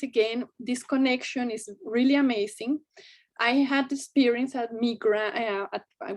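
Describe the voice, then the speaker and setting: A woman in her thirties with a Colombian Spanish accent touched by German, a native Spanish speaker chatting cheerfully as a guest on a video call, sometimes searching for words. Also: fairly high pitch. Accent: Colombian Spanish, some German. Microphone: video call webcam.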